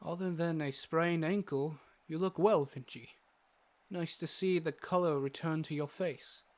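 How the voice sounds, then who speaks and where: Learned fantasy adventurer, acted by a man: lighter, higher male voice, unhurried, articulate, earnest with gentle teasing. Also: steady tone, natural voice. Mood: wry, friendly. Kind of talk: fantasy audio drama acting.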